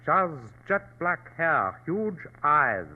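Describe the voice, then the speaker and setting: An actor playing a dignified, ruthless provincial governor from China, courtly and formal, in 1940s radio drama style. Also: male voice, fairly high pitch, big pitch swings, slow pace, exaggerated voice. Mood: determined. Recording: thin, narrow-band old radio recording.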